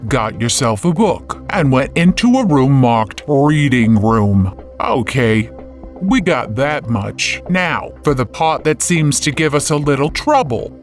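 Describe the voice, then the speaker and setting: A man's voice: mid-range voice, pitched below the performer's own voice, close to an everyday voice. A man playing a sceptical police captain, blunt and slangy, like an old radio detective.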